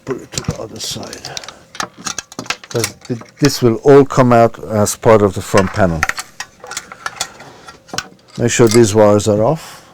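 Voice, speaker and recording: A man's voice: lowish voice, steady tone, measured pace, pauses often. A man giving an informal, unscripted step-by-step repair demonstration, talking hesitantly as he works with his hands. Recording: clear background noise, handling clicks.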